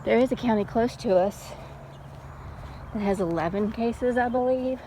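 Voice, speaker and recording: A middle-aged woman chatting casually in an unhurried, folksy way, like a mom telling stories while out on a walk. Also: a little high. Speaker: storytelling vlogger and mother. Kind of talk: casual rambling chat. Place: outdoors, walking. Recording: steady background noise, outdoors on a walk.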